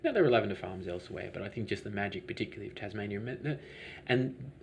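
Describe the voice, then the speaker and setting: A man with a low-pitched voice answers interview questions as a business owner. He talks in a rambling, hesitant way, often restarting sentences. A faint hum of ambience sits behind him. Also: fast talker, creaky. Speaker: lavender farm business owner.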